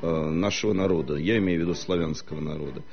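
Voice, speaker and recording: Low-voiced man lecturing slowly. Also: creaky, lo-fi sound. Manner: measured, lecturing, frequent hesitations. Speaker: historian guest. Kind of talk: studio talk-show discussion.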